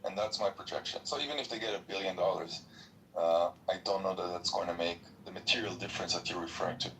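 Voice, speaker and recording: A man's voice: low voice, quick pace, fairly bright tone. A man debating politics as a podcast panelist, earnest and argumentative, often stumbling over fillers. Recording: distant mic, faint background noise.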